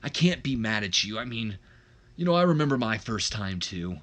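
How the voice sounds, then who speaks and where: A man's voice: on the low side, very animated, moderate pace, fairly bright tone. Man playing a blunt, hot-headed younger brother wrestler. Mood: grudging. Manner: blunt, scolding, emphatic. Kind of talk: acted wrestling promo dialogue.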